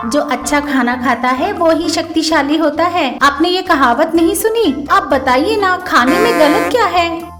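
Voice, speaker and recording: A woman's voice: very high voice, animated, a little slow, echoey room, music in the background, pitched above the performer's own voice. A woman voicing a cheeky, food-mad young daughter-in-law in an argumentative, whiny manner for a comic story. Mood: defiant.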